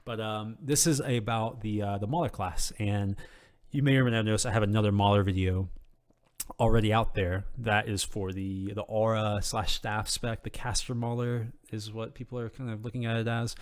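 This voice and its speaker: A man with a slightly hoarse voice giving an unscripted, rambling game tutorial, full of ums and restarts in a relaxed, casual way. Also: fairly low pitch, moderate pace. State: slightly hoarse, losing his voice. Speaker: game guide video creator.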